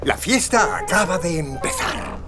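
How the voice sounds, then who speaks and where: A man's voice: sits high, expressive, a little slow. An actor playing a sadistic, falsely sweet child killer who confides his crimes in a true-crime audio drama. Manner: chilling, hushed confiding that swings to sing-song coaxing. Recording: music under the voice.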